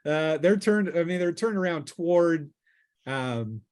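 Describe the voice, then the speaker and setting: A man's voice: on the high side, lively intonation, measured pace, darkish tone. A man acting as game master, casually narrating a tabletop role-playing session and piecing scenes together aloud as he goes.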